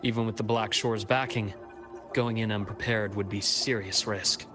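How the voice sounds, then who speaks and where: An actor playing a composed, shrewd investigator in a video game, speaking in a measured, articulate way. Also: male voice, lowish voice, fairly even intonation, lightly acted. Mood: measured, analytical. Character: composed, shrewd male investigator with a quiet grudge. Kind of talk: scripted video game dialogue. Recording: background music under the voice. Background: game music.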